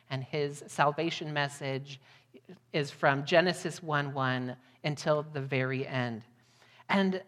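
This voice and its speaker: A woman's voice: low voice, fairly bright tone. An American woman giving a sermon to a congregation as a guest missionary preacher. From United States, Midwest. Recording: long hall reverb.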